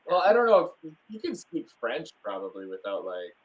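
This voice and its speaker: A man's voice: medium pitch, huge pitch swings, moderate pace, rough recording. A young man from Wisconsin hosting a casual live stream, talking enthusiastically and rambling with plenty of fillers and restarts.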